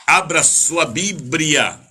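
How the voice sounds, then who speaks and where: A man's voice: on the high side, very slow, sharp tone, breathy edge. An older man preaching as an evangelical bishop on a live radio service, declamatory, repeating phrases and drawing out names as he greets listeners.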